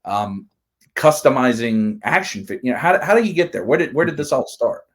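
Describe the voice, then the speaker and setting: Male host, 40s, mid-pitched, chatty and enthusiastic, long winding questions. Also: very animated, a little fast. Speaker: live stream host. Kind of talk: casual interview chat.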